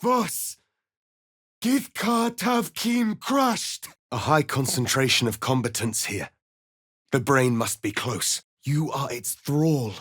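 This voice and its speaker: A proud alien warrior prince from a fantasy game, slow n deliberate, very formal and commanding. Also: male voice, medium pitch, animated, fairly bright tone, slightly rough, dry recording, clean studio sound, exaggerated voice. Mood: fierce, rousing. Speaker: video game character. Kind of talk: dramatic fantasy game acting.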